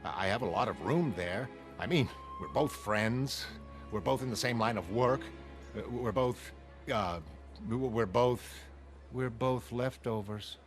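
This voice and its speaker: A man's voice: mid-range voice, a little slow, lightly acted. An actor playing a shy, lonely middle-aged man, earnest and stammering, in comic-book drama dialogue. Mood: awkward, hopeful. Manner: hesitant, stammering. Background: music.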